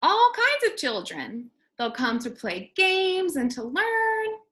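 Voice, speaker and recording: A woman's voice: very high-pitched, big pitch swings, slow pace, pushed and bright, voice pushed up, heavily acted. A woman voicing a kindly, reassuring male school janitor in a storybook, upbeat and soothing.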